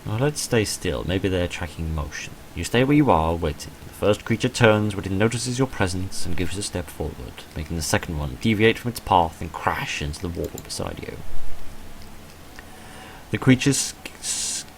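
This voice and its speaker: A deep-voiced man narrates a visual novel playthrough. He reads the text like a dramatic story and drops in dry, offhand commentary. There is some faint noise behind his voice. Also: lively intonation, normal speed, poor audio. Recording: noticeable background noise.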